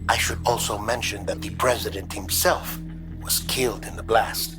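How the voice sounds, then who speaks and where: An actor playing a thoughtful, articulate researcher in a video game, explaining in a measured, formal and grave way. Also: male voice, fairly low pitch, fairly even intonation, over music, natural voice. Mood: grave, explanatory. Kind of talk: video game cutscene monologue.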